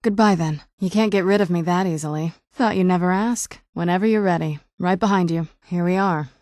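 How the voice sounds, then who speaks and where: A woman's voice: on the low side, brisk, darkish tone, dry recording, natural voice. Actress doing a young lady vampire sidekick for a video game, kinda wry and guarded, dry studio sound. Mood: calm, friendly. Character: young adult female vampire companion, wry, guarded, daughter of a villain. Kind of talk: video game character voice acting.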